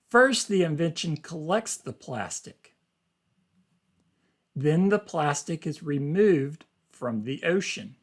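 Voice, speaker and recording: A man, a teacher reading an article aloud to learners and explaining it in simple, deliberate phrasing. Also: on the high side.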